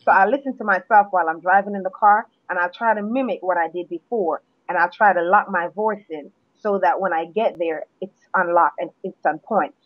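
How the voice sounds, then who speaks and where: A woman from Jamaica, a guest poet, chatting in an interview and telling her story in a relaxed way with restarts, heard over a phone line. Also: lowish voice, fairly quick, few pauses, dry recording. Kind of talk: casual interview chat. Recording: tinny phone line, dry.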